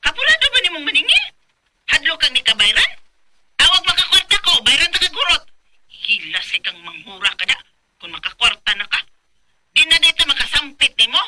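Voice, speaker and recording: An actress playing a brazen, wheedling, hot-tempered elder sister in a radio drama, with an emphatic, insistent delivery. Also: female voice, high-pitched, sing-song, fairly quick, pauses often, heavy background noise. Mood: indignant, scolding. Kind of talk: Filipino radio drama acting.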